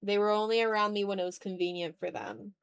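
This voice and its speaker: A young adult woman chatting casually on an art livestream, animated and slangy, with a self-deprecating way of talking. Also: mid-range voice, fast, clean tone. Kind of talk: casual livestream chat while drawing.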